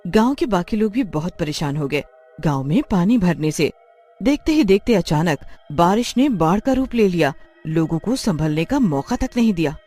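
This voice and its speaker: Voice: mid-range voice, sing-song, moderate pace, darkish tone, faint music behind. A narrator telling a scripted story in a dramatic storytelling manner.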